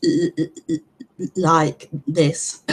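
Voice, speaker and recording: A woman with a stutter, hosting an online meeting and giving a gentle, reflective spiritual talk with frequent repetitions and blocks. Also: low voice, big pitch swings, extremely slow, creaky, husky. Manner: gentle, reflective, laughs lightly at herself. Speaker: non-duality teacher hosting an online meeting. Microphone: online call broadcast.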